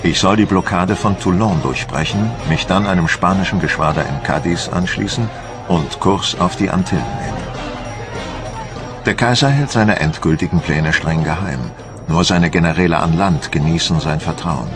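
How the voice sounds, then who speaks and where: An actor playing a proud, dutiful French admiral who recounts his campaign in a solemn, measured, formal way for a documentary radio play. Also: deep voice, over music. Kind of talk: dramatised documentary narration.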